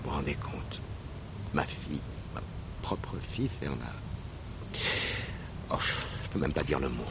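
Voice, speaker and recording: An actor playing an older, distressed, apologetic father in a French radio drama, speaking hesitantly and helplessly. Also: very deep voice. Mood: plaintive, distressed. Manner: hesitant, plaintive, halting. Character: distressed retired father, apologetic, helpless with his wayward daughter. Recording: noisy old radio drama recording.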